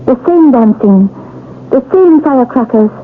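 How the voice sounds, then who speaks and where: An actress playing a troubled wife in a trance, speaking in a solemn, halting, dramatic manner in a 1970s radio mystery. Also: female voice, sits high, animated, a little hiss or hum. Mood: trance-like, foreboding. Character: troubled wife in a trance, bleakly foretelling doom. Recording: tinny old radio broadcast, music behind.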